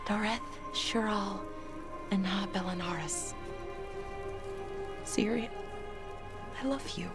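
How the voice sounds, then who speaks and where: Young elf woman, game cutscene. Grieving, tender, earnest, halting phrases over clear music. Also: medium pitch. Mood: grieving, hushed. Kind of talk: video game cutscene acting. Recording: game audio with music underneath.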